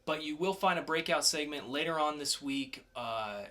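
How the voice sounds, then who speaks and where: A man's voice: on the high side, moderate pace, bright, forceful tone. A man co-hosting a podcast, talking informally in a casual review chat. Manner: informal, conversational. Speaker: podcast co-host reviewing comics.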